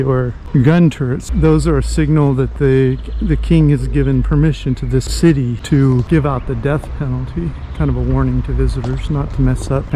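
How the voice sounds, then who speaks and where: A man's voice: mid-range voice, mellow, slight background noise, rough recording. A man narrating a sightseeing walk as a casual travel vlogger.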